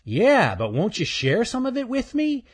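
A man's voice: very high-pitched, huge pitch swings, moderate pace, breathy edge, pitched above the performer's own voice, heavily acted. A man voicing a cocky male chipmunk who teases and wheedles in a children's story. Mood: wheedling. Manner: teasing, pushy.

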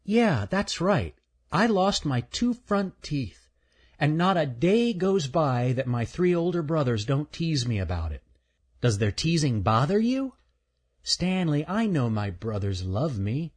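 A man voicing a kind little female squirrel who speaks softly and reassuringly. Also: on the high side, big pitch swings, measured pace, breathy edge, pitched above the performer's own voice, heavily acted. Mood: gentle, encouraging. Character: kind little girl squirrel, gentle and wise.

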